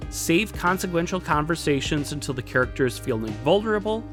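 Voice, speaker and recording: Animated higher-voiced man presenting an explainer. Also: brisk. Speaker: video essay presenter teaching game writing. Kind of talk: scripted explainer narration. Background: background music.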